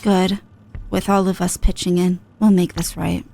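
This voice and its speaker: Woman as a deadpan, lower-voiced game guide. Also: moderate pace, gravelly, a little hiss or hum. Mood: calm, sober.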